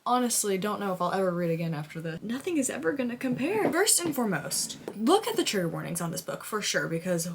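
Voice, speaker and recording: A young woman speaks in a medium-pitched voice over faint background noise. She talks like a book vlogger confiding in her viewers, informal and full of slang. Her delivery is emotive and given to dramatic exaggeration. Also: big pitch swings, quick pace, hardly any pauses. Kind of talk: casual reading vlog, confiding chat to camera.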